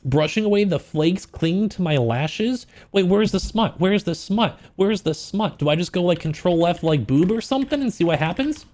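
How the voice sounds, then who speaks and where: A man with a high voice talks like a casual livestreamer reacting to things. His pitch moves around a great deal, giving him a very animated sound. He is slangy and joking, with an incredulous edge. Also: normal speed, hardly any pauses. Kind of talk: casual livestream reaction with book read-outs.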